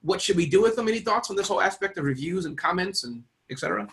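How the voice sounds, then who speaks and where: A man's voice: sits high, lively intonation, rapid-fire, vocal fry, a bit bright. A man in his forties hosting a casual interview over video call, playfully recapping what his guests say. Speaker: host. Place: indoor room on a video call.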